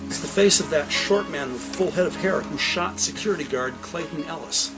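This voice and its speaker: Guy narrating a crime audio drama, slightly high voice, dramatic storytelling, music behind. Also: moderate pace, poor audio.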